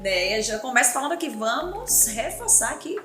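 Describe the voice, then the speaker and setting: A woman's voice: high-pitched, big pitch swings, moderate pace, bright, forceful tone. A woman hosting a school podcast, chatting casually and enthusiastically with her guests and calling out to listeners. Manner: enthusiastic, affirming, addresses listeners as "minha gente". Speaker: podcast host. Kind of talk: casual interview chat. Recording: echoey room with background music.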